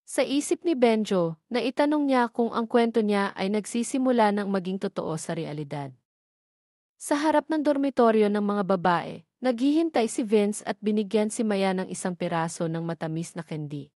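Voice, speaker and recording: Female narrator for scripted romance audio stories, medium pitch, close dry recording. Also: expressive, normal speed, a little mellow, dry recording.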